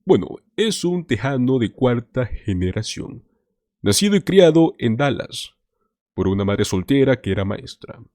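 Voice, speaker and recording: A man's voice: mid-range voice, big pitch swings, measured pace, a little mellow, no room sound, studio quality. A man hosting a political commentary show, talking to viewers in an emphatic, scripted way. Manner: emphatic, addresses viewers as "señores".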